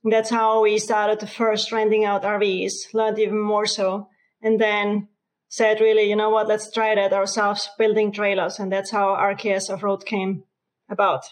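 A woman with an Austrian accent, a company co-founder telling her story in a relaxed interview. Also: mid-range voice, normal speed, low-quality recording. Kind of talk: casual interview talk.

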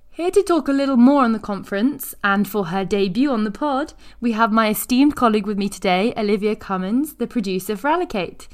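A woman hosting a podcast, introducing guests and asking interview questions. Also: medium pitch.

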